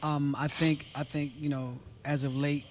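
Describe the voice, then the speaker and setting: Laid-back raspy man, guest singer. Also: fairly high pitch, flat delivery, moderate pace, slight background noise. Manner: laid-back, trails off with fillers. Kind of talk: casual interview chat.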